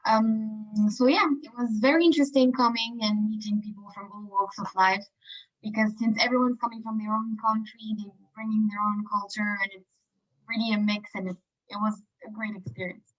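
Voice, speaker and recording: A woman's voice: medium pitch, steady tone, moderate pace. A young woman from Morocco, a student representative, chatting casually with fillers in a webinar over a video call. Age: young adult. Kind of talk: webinar chat. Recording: dull lo-fi call audio.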